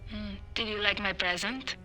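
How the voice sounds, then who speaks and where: An actress playing a cocky, contemptuous rebel leader, taunting an enemy in a video game. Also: female voice, medium pitch, normal speed, sharp tone, soft background music, natural voice. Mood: mocking. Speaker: actor. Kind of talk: video game cutscene acting.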